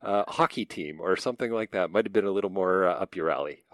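A man hosting a radio interview, lively and laughing, restarting his sentences. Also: low-pitched, brisk, dry recording. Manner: energetic, laughing, repeats words as he starts.

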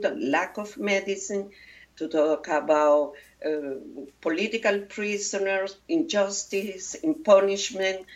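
Woman filmmaker, slow and halting. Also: mid-range voice, recorded from a distance, poor audio. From Venezuela. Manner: halting, many restarts and fillers. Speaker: documentary filmmaker. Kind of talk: interview.

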